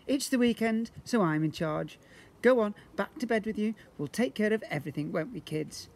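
A man's voice: high-pitched, lively intonation, normal speed, a little mellow, pitched above the performer's own voice. Man doing a hearty, bossy dad in charge. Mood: cheerful. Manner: hearty, commanding, sergeant-like. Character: bossy cheerful father taking charge.

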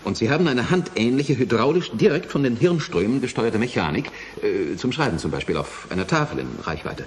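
A man in a German radio play acting a university president who explains things in a measured, deliberate way. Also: mid-range voice, very animated, a little hiss or hum, lightly acted. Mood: explanatory. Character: measured, authoritative university president defending his project.

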